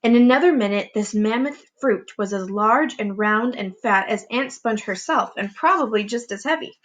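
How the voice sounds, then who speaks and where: A woman reading a children's storybook aloud as a teacher would, with expressive, deliberate narration. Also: on the high side, rough recording.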